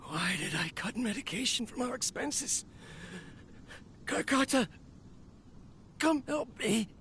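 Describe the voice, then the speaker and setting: A weary, lonely inventor. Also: male voice, high voice, noisy background, full character voice. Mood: pained. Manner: tired, lonely musing, gentle and resigned. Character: weary, ailing male inventor talking to his machine. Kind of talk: video game voice acting.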